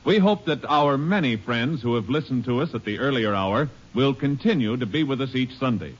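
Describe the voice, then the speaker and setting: A man announcing a 1950s radio drama in a measured, formal way. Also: mid-range voice, expressive, slightly rough. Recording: dull lo-fi old radio broadcast.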